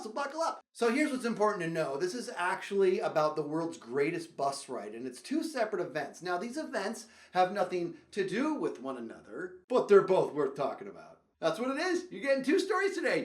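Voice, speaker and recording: A man hosting a comedic storytelling show, talking straight to viewers in a theatrical, exaggerated, emphatic way and repeating phrases. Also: sits high. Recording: roomy echo.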